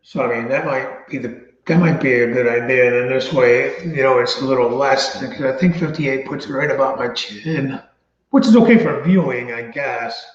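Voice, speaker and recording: A man's voice: mid-range voice, normal speed. Man chatting casually in a roomy space. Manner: thinks out loud, meandering. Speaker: show host. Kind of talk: casual chat. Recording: roomy, slightly dull audio.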